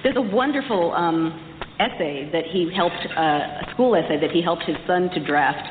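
A woman's voice: lowish voice, moderate pace. Woman lecturing in an echoey hall. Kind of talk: prepared history lecture delivered to an audience. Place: large reverberant hall. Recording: echoey hall, muffled, some background noise.

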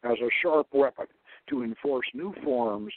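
A man hosting a radio talk show, lecturing in a deliberate, halting way. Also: medium pitch, no room sound. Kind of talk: talk radio lecture, partly read aloud. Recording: tinny, phone-like radio line.

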